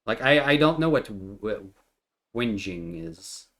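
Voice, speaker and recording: A man's voice: fairly low pitch, lively intonation, fairly bright tone. Chatty man, French native speaker. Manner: chatty, laughs easily, halting restarts. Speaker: streamer. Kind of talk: casual gameplay chat.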